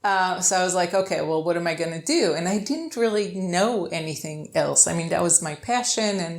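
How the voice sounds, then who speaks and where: A woman's voice: fairly low pitch, expressive, a little fast. A middle-aged woman from Israel, a guest photographer chatting casually in an interview and acting out the lines she quotes as she tells her story.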